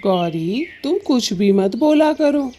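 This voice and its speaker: Slow, mellow, henpecked cartoon bird husband, played by a woman. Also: a little high, expressive, a little hiss or hum. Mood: exasperated. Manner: slow, exasperated. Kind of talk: children's cartoon story dialogue.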